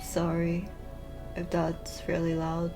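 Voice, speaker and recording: Casting: female let's player, casual and reactive, slightly low register, leisurely pace. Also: completely flat delivery, takes it slowly, music in the background. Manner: musing aloud, reacting to the game. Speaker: game streamer. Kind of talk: casual let's play commentary.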